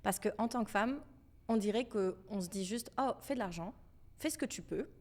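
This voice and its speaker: A young woman from France with a French accent, talking as a podcast guest in a lively, emphatic, convinced way about money. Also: mid-range voice, moderate pace. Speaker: podcast guest, financial educator. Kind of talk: casual podcast conversation.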